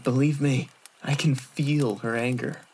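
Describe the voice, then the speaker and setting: A man voicing a smooth-talking, unrepentant flirt with courtly, self-satisfied manners in an anime-style audio drama. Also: medium pitch, steady tone, a little slow, darkish tone. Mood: teasing, sly.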